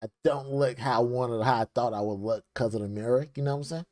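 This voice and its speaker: A man's voice: mid-range voice, steady tone, fast, darkish tone, no echo, studio quality. A young man chatting casually into a desk microphone as he reacts to a video, rambling with lots of fillers.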